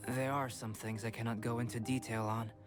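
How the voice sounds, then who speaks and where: An actor playing a calm, aloof young alchemist in a video game, measured, polite and detached. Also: male voice, mid-range voice, lightly acted. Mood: composed. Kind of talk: video game character dialogue. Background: music.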